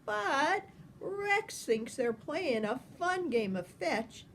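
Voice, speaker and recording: An older woman reading a children's picture book aloud with expressive, deliberate phrasing, like a grandmother talking to young listeners. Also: high voice, a little hiss or hum.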